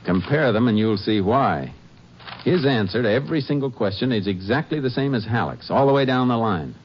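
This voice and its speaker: An American man acting a steady, no-nonsense Texas Ranger investigator in a 1950s radio crime drama, terse, measured and authoritative. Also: medium pitch, slight background noise, close to an everyday voice. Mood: businesslike. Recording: dull old radio recording with music.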